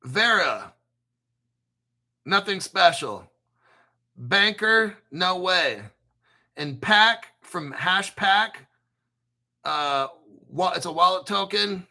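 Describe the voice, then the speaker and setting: A man's voice: sits high, lively intonation, very slow, creaky, pauses often, sharp tone. A man hosting a casual crypto show, talking bluntly and profanely, rambling with restarts. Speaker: crypto channel host. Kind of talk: casual livestream chat.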